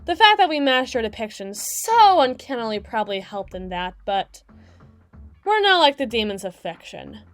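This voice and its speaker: Woman acting as sardonic demon club DJ, high voice, very animated intonation, crass, defensive, exasperated, audio roleplay drama. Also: normal speed, faint music behind. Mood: weary, sardonic explaining. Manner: sarcastic, exasperated, biting, foul-mouthed. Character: snarky, crass, fast-talking female demon club DJ, bitter and defensive.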